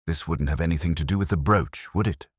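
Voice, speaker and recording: A man playing a calm, shrewd police inspector in a mystery story, gentle and measured in manner. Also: low-pitched, slightly rough, dry recording, studio quality. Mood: gently pressing.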